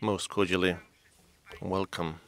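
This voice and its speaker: A man interprets formal conference speeches in English with a low, gravelly voice. His delivery is steady and measured. Now and then his voice thins into a near-whisper. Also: soft background music. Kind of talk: simultaneous interpretation.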